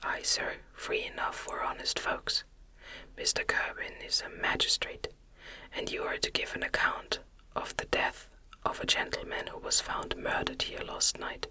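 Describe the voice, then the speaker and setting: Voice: a little slow, pushed and bright. A narrator voicing a stern, accusing man in an audiobook, in an ASMR whisper. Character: ill-looking, stern man summoning a suspect.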